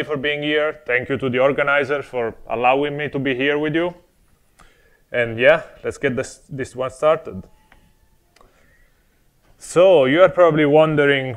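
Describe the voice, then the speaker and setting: A young man from Italy with an Italian accent, giving a friendly, explanatory conference talk on a technical topic with touches of humor. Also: fairly high pitch. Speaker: conference speaker. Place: conference stage before an audience.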